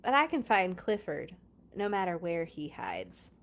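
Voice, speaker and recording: A woman reading a children's picture book aloud in an expressive, playful way, with chatty casual asides. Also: lowish voice, a little slow.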